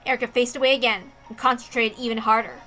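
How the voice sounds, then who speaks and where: A woman's voice: fairly high pitch, fairly even intonation, fast, sharp tone, faint music behind. A woman reading scripted, dramatic narration from a visual novel. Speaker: narrator, reader.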